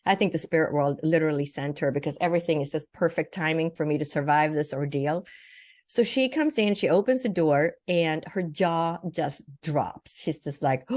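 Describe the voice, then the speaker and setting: An older woman who grew up in Sweden recounts a personal experience in an interview. Her voice sits a little low, and the sound is dry, with no room echo. She tells things step by step in vivid detail, acting out what people said. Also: moderate pace, a little mellow. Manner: vivid, detailed telling with acted-out quotes. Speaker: guest, naturopathic doctor and spiritual teacher.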